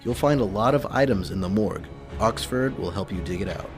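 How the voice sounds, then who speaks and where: Male actor as decisive newspaper boss, fairly low voice, commanding, businesslike, radio drama, music behind. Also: fairly quick, lightly acted. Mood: businesslike, resolute.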